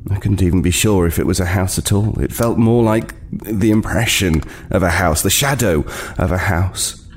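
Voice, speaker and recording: An actor playing an uneasy homeless man who narrates his horror tale in a halting, brooding way. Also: deep voice, slight background noise. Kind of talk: dramatic first-person horror narration. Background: music.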